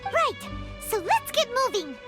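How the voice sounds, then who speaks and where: A woman voicing a small, childlike, excitable and inquisitive fairy companion in a video game dub, squeaky and full of exclamations. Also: unhurried, heavily acted. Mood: eager. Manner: exclamatory, questioning. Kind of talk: English game dub voice acting. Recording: game music behind the voice.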